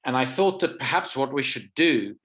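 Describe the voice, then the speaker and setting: A man's voice: mid-range voice, animated, a bit bright. Man speaking with a sore throat. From South Africa. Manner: measured, emphatic, slows for weight. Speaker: rally speaker. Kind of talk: campaign rally speech.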